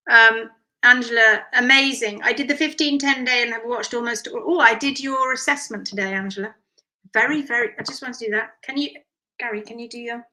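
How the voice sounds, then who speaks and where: A hoarse woman with a croaky voice from strained vocal cords, a hoof care instructor talking animatedly and reading out viewer comments in a live session. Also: on the high side, poor audio. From United Kingdom. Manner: animated and emphatic, quick asides.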